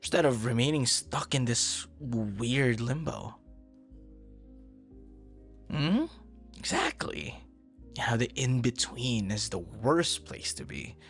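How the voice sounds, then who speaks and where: A man performing an ASMR roleplay as an earnest, easily flustered young stranger, talking conversationally with hesitant repeats and hushed asides. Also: mid-range voice, expressive, soft background music. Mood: sympathetic, reassuring. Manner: hesitant, halting, soft-spoken asides, repeats words.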